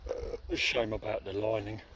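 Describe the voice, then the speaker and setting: A man's voice: lowish voice, steady tone, moderate pace, dull audio, noisy background. Wry man muttering outdoors. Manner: wry, muttering asides, swears casually. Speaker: graffiti vlogger. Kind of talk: casual walking commentary. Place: outdoors by a graffiti wall and woodland. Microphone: handheld camera phone.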